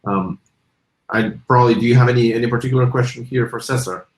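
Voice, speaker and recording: A man's voice: medium pitch, fairly even intonation, fast, reverberant. A man moderating an online panel, thanking speakers and passing questions on. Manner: upbeat, thanking and passing the floor. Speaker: session moderator.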